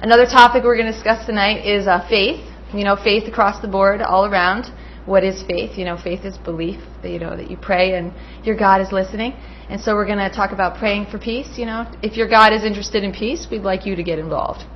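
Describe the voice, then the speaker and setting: A woman's voice: medium pitch, steady tone, fairly quick. A woman hosts a local studio show with enthusiastic, preachy conviction. Her audio is muffled, with faint hiss. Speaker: TV host. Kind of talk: casual hosting. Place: small studio with green screen. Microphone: desk mic on stand.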